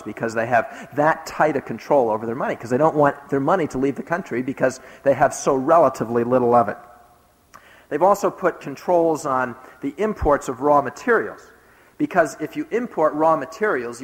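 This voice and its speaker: A man recounting a trip abroad, telling the story in an earnest, emphatic way. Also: medium pitch. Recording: long hall reverb.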